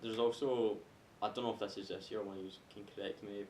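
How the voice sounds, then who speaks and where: Low-voiced man in an echoey room. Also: steady tone, quick pace, darkish tone. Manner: sweary, informal. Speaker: podcast host. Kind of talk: casual group film chat. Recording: echoey room, noisy low-fidelity rumble.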